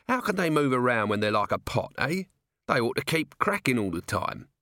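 A man's voice: medium pitch, sing-song, normal speed, sharp tone, dry recording, studio quality. Man putting on a whiny, grubby little watchman. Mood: puzzled. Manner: sulky, colloquial grumbling. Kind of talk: audiobook character voice.

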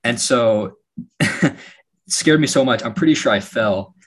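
Laid-back slightly raspy young male host. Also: medium pitch, measured pace. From Alaska. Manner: relaxed storytelling with frequent fillers and laughs. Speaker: podcast host. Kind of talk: casual podcast chat.

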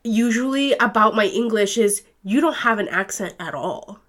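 A woman's voice: mid-range voice, expressive, normal speed, fairly bright tone. A young woman co-hosting a casual podcast, playful and teasing, laughing often. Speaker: podcast co-host. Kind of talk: casual chat. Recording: faint background hiss.

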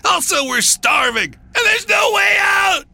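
A panicked villager from a folktale, played by a man, loud and irritable. The voice jumps high and bright, wailing and shouting in despair. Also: big pitch swings, a little slow, vocal fry, no room sound, exaggerated voice. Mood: exasperated shouting.

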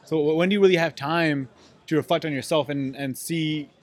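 A man in a casual chat, halting and repeating words, turning animated as he gets going. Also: a little high, soft background music.

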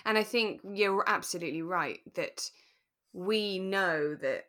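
Lower-voiced woman, relaxed podcast chat. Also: a little slow. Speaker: podcast co-host. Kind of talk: relaxed casual discussion of a radio drama.

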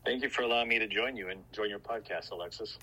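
A middle-aged man with an American accent, telling his story as a podcast guest in an explanatory, measured way. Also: on the low side, bright, forceful tone, rough recording. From Greece. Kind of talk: informal interview storytelling.

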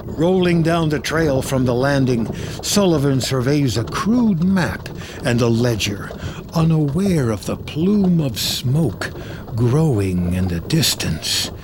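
A man reading screenplay action as scripted narration, in a measured, present-tense manner. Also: medium pitch, expressive, background noise.

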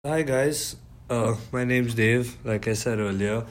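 A man's voice: medium pitch, unhurried. A young man from India, a college student co-hosting a podcast, explaining things earnestly with a few ums. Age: young adult. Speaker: podcast co-host. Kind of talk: casual podcast talk.

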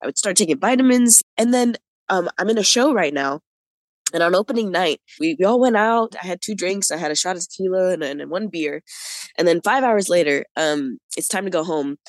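A person in their twenties, an interview guest, telling a personal story in a chatty, animated way. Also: medium pitch, brisk, no echo. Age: 20s. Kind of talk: casual storytelling.

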